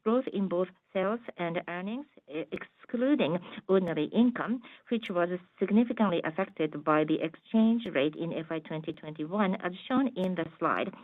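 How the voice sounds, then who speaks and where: A woman formally reading out a financial presentation in a halting, measured business manner, as a conference call interpreter. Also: on the low side, lively intonation, dry recording. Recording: tinny, dry phone-like line.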